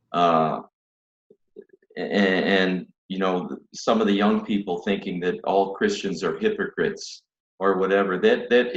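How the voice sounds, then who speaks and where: A low-voiced man in his fifties, a priest, talks earnestly from his book-lined study on a video call. His webcam audio sounds dull and echoes around the room. He restarts his sentences often as he thinks aloud. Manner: earnest, measured, many restarts. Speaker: priest guest. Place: home study with bookshelves.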